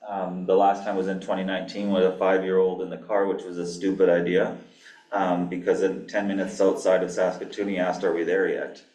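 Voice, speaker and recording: A man from Canada giving an academic lecture as a guest pharmacy professor, with a flat monotone delivery, dry asides and many ums. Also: low-pitched, fairly quick. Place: lecture hall. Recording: echoey hall, mic far off.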